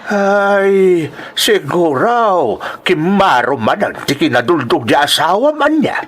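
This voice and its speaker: Guy doing tired old dad in a drama, sighing and fretting over his kid, big pitch swings. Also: sing-song, takes it slowly, a bit bright, exaggerated voice. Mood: sorrowful scolding. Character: concerned old father, weary, lamenting, protective. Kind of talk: Ilocano audio drama acting.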